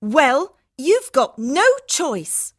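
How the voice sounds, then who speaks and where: A woman's voice: high voice, very animated, slow pace, no room sound, clean studio sound, full character voice. An actress playing an anxious, pleading young woman desperate to get home in an audiobook story. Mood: insistent. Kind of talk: audiobook dialogue acting.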